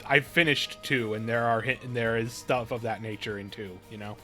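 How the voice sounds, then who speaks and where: A man's voice: medium pitch, steady tone, moderate pace, bright, forceful tone. A man giving casual live stream game commentary, rambling and often rephrasing mid-thought, with game music behind him.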